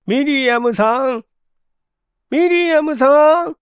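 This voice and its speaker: Man putting on a high-pitched fussy landlady. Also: slow, no room sound, clean studio sound. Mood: calling out. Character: fussy, grumbling middle-aged landlady. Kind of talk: dramatized audiobook reading.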